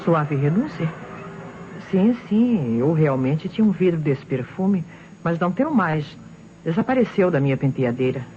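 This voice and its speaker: A woman's voice: low-pitched, sing-song, moderate pace. An actress playing an insistent, defensive married society woman with a Brazilian accent in an old radio crime drama. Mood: guarded. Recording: dull old radio recording, faint noise.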